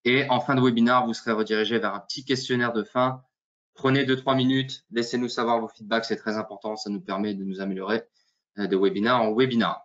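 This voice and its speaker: A man's voice: mid-range voice, steady tone, fast, fairly bright tone. A man hosting a webinar, chatty and joking, with many fillers, heard over a video call. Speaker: webinar host. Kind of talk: casual webinar presentation. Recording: dull lo-fi call audio.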